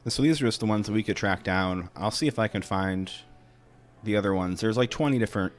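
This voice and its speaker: Male co-host, deep-leaning voice, very brisk pace, casual banter. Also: faint music behind. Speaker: podcast co-host.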